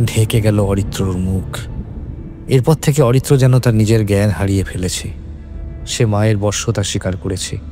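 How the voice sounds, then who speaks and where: A man's voice: fairly low pitch, mellow. A man narrating a scripted horror story in a dramatic, suspenseful manner.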